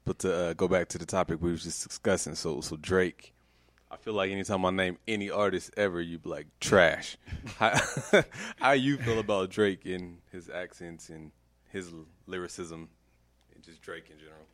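A man's voice: sits low, normal speed, fairly bright tone, dry recording. A man hosting a casual hip-hop podcast, chatting in laid-back slangy banter. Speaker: podcast host. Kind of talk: casual chat. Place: Denver, Colorado.

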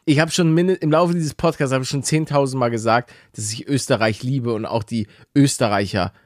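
A man chats as a podcast co-host in a mid-pitched voice. His talk is colloquial and full of joking banter, and the sound is dry, with hardly any room in it. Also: fairly quick, dry recording. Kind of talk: casual chat.

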